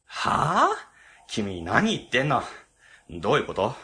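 A man's voice: medium pitch, wildly swooping pitch, unhurried, bright, forceful tone, exaggerated voice. A man playing a timid, blustering middle-aged section chief in a comedic radio drama, flustered and pompous. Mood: pompous worry.

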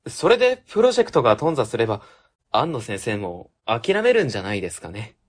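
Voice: mid-range voice, sing-song, fast talker. An actor playing an earnest, polite junior office colleague in a radio drama, deferential and easily flustered. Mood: hopeful. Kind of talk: web radio drama acting.

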